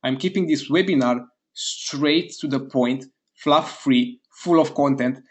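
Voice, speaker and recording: Upbeat man hosting a live webinar. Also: mid-range voice. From Romania. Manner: upbeat, eager, speaks straight to a live chat audience; repeats words in quick bursts of praise. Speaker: webinar host. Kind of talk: live online sales webinar.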